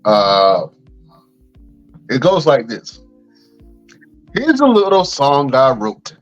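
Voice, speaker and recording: A man's voice: medium pitch, huge pitch swings, drawn-out words, lo-fi sound, soft background music. A man co-hosting a wrestling podcast in a laid-back, slangy way, plugging the show and chatting with his co-host. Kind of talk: casual podcast chat.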